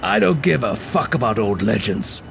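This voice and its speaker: An actor playing a gruff, impatient male investigative partner in an audio drama, blunt and terse. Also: medium pitch, very animated, moderate pace, background noise. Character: gruff, impatient male partner who wants lives saved.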